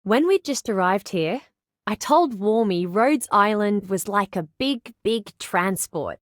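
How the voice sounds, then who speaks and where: A woman's voice: medium pitch, animated, slow pace, dry recording, clean studio sound. A woman voicing an easygoing, friendly young truck driver in a game. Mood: amused, friendly. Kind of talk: game character voice acting.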